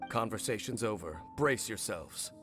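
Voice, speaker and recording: A man acts as a grave, guarded knight from a fantasy video game, cursed and ageless. The voice is low, with formal wording and measured dramatic pauses, and music plays clearly behind it. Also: expressive, natural voice. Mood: terse. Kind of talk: video game character dialogue. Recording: background music under voice.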